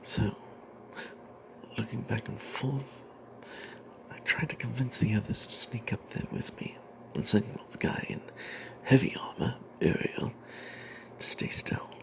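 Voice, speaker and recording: Casting: man playing sly, cowardly cleric in a whispered fantasy story, unhurried delivery with a creaky edge. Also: on the low side, fairly even intonation, rough recording. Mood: tense, secretive. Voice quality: breathy whisper with creaky edges. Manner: slow, hushed, secretive, hesitant pauses. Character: greedy, cowardly male adventurer cleric, sly and grudging. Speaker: narrator of a tabletop fantasy tale. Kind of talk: breathy whispered ASMR first-person storytelling.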